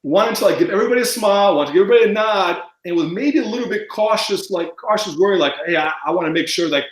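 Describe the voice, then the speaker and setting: Fast-mumbling man, high-pitched. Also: expressive, roomy sound. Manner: rambling with many fillers. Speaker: guest. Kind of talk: casual podcast chat.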